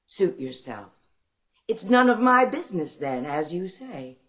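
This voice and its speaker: Aloof, low-voiced odd saleslady. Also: sing-song, unhurried, a crowd in the background. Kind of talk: radio drama acting.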